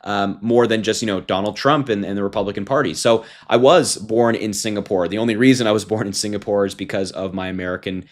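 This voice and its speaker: A man's voice: on the low side, steady tone, fast, hardly any pauses. A man in his twenties giving casual, combative political commentary to camera, talking informally with fillers and restarts. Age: 20s. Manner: mocking, combative.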